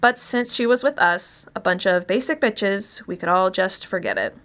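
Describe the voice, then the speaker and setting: A wry woman past thirty played by an actress tells her own story in a conversational, confiding way. Her voice is mid-pitched, and she talks as if admitting something to a listener in an audio short story. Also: moderate pace, a bit bright, close to an everyday voice. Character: chatty, wry Los Angeles woman past thirty confessing. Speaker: actor reading a short story. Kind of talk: first-person dramatic monologue, conversational storytelling.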